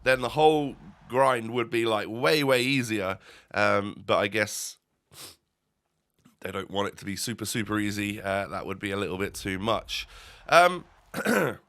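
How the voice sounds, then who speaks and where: A man's voice: lowish voice, measured pace, fairly bright tone. A young man with a stuffy, nasal voice, rambling through casual gameplay commentary with lots of fillers and restarts. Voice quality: nasal, congested. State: stuffy, bunged up. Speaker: gaming YouTuber.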